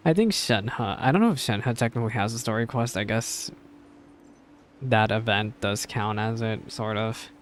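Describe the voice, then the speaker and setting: A man's voice: on the low side, normal speed, a little hiss or hum. A man reacting live to a video game in a casual, chatty way, thinking aloud as he plays. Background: video game music.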